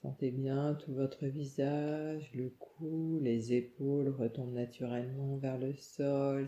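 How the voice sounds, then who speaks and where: A woman leads a guided relaxation meditation, calm and soothing, giving gentle instructions in short phrases with pauses. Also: very deep voice. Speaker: yoga nidra meditation guide. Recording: muffled, echoey room sound.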